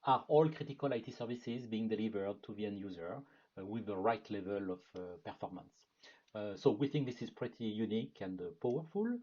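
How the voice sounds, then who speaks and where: A man with a French accent giving a product marketing presentation in a webinar, speaking in a measured way with frequent filler sounds. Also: on the low side, lively intonation, warm tone. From France. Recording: dull lo-fi webinar audio.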